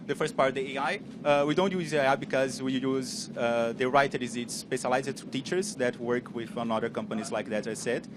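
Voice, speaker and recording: Brazilian guy pitching in choppy english, loud tram and street noise all around. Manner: halting, searching for words in English. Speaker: startup CEO pitching. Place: on a moving tram.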